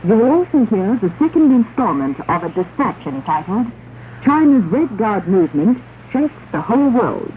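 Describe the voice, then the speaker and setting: A woman radio announcer reads a formal, measured, declamatory propaganda news bulletin on a 1960s shortwave broadcast. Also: medium pitch, very animated. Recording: narrow, noisy 1960s shortwave radio recording.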